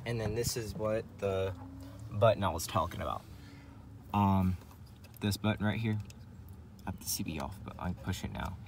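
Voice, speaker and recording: A man's voice: low voice, normal speed, creaky, long pauses. A man giving a casual hands-on walkthrough in a rambling, hesitant way, often repeating his phrases. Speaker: vlogger showing a CB radio setup in a truck. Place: in and around a parked truck. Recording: noisy handheld recording with vehicle ambience.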